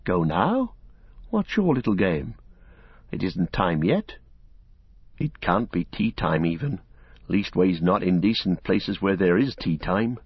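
A man voicing a blunt, loyal hobbit servant in a lowered, gruff, folksy grumble, heard in a dull, dry lo-fi recording. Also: very animated, slow pace, pauses often, dry recording, exaggerated voice. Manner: grumbling, folksy and plain-spoken. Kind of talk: audiobook character voice.

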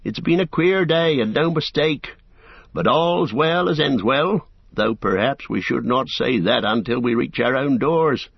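A man voicing a stout older hobbit farmer with a gruff, rustic manner. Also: medium pitch, expressive, measured pace, dry recording, dull audio, pitched above the performer's own voice.

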